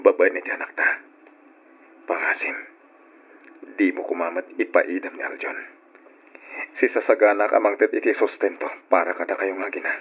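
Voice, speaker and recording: A man playing an insistent married father in an Ilocano radio drama, with a husky voice. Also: deep voice, fairly even intonation, normal speed, long pauses, a bit bright. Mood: pleading, insistent. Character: Jerome, insistent married father demanding truth about his son. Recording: muffled lo-fi old radio recording.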